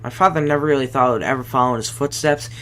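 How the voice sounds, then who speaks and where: A man's voice: medium pitch, very fast, fairly bright tone, a little hiss or hum, natural voice. A man playing an apologetic young boyfriend in an audio drama, speaking earnestly. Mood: sorry, bitter.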